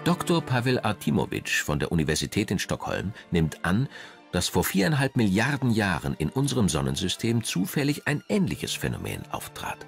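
A man's voice: on the low side, wildly swooping pitch, moderate pace, gravelly, over music. A man reading scripted documentary narration in German as the narrator.

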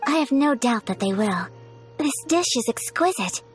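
An actress playing a shy, courteous young noblewoman in a video game, soft-spoken and formal. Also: a little high, expressive, normal speed, natural voice. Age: young adult. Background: game music.

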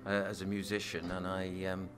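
A man, a musician and studio owner, answering interview questions in a halting way, restarting his sentences often. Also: sits low. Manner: halting, stumbling restarts, flat delivery.

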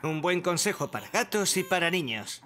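An actor voicing an earnest, slow-witted firefighter in a cartoon dub. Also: male voice, high voice, animated, noisy background. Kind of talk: cartoon dubbing.

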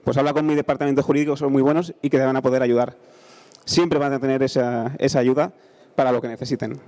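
A man's voice: medium pitch, level tone, fast, dark tone. A man in his thirties with an accent from Spain gives an informal, conversational conference presentation into a handheld microphone on stage. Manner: conversational, frequent check-in tags. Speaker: conference speaker, engineer presenting a startup accelerator.

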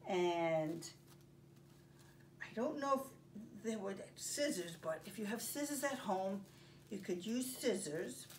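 An older woman presenting story time for young children, speaking in simple, deliberate phrases with pauses as she reads and demonstrates. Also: mid-range voice, animated. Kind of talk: read-aloud and craft demonstration for young children. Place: carpeted home living room. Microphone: camera a few feet away. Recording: distant mic, faint room noise.

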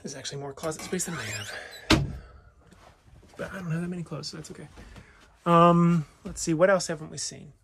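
Casual man with gravelly, fairly high voice. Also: brisk, long pauses. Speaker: vlogger and owner giving a tour of a vintage motorhome. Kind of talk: casual, off-the-cuff walk-through narration. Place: inside a small vintage motorhome. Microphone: handheld phone or camera, held by the speaker. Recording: noisy handheld recording with clicks.

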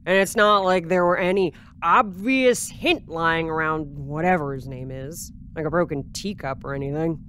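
A woman's voice: low voice, normal speed, hardly any pauses, a bit bright, slight background noise. A woman playing a blunt, hard-partying security officer in an audio drama, with a casual, unimpressed drawl.

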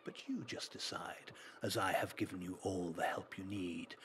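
A man reading a folk tale as a storyteller, in a dramatic, deliberate manner. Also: deep voice, background noise.

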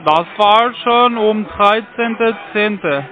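A man with a foreign accent, not a native speaker, making a phone sales pitch in halting, ungrammatical sentences. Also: sharp tone. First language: not German. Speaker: call centre phone salesman. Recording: muffled phone line.

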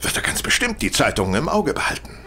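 An actor playing a brilliant, self-assured Victorian detective in an audio drama, reasoning in a confident, didactic manner. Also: male voice, mid-range voice, huge pitch swings, quick pace, pushed and bright, slight background noise. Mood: brisk, decisive. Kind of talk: German audio drama acting.

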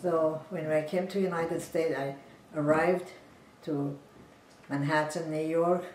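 An elderly woman speaks in a measured, plain way, recalling her life for an interviewer. She sits on a sofa in a living room, heard from a camera some distance away. The room adds a roomy echo, with a faint hum of ambience behind her. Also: sits low, fairly even intonation, a little mellow. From Iran. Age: 90s. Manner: measured, plain storytelling, seated and still. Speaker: interviewee, physician. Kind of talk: personal life story interview. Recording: roomy, distant home recording.

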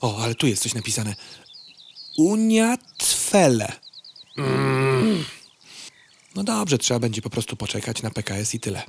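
Blunt, drawling city housefly. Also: female voice, extremely low pitch, very animated, measured pace, gravelly, long pauses, husky, heavily acted. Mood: chatty. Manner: hesitant politeness slipping into sardonic grumbling. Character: hungry city housefly, female, blunt and sarcastic. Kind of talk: audio comedy drama acting.

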